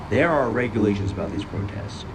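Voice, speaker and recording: Domineering robot police officer acted by a man, fairly low, officious, very noisy recording. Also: lively intonation, a little fast, lightly acted. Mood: stern, officious. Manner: officious, rule-quoting. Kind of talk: dramatic comic dub acting. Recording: very noisy, loud background hum.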